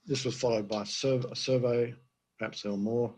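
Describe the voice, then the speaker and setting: An older man, an emeritus professor, giving a conference presentation with a hoarse voice he is losing, halting with many fillers. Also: medium pitch, steady tone, a little mellow. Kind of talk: conference presentation over video.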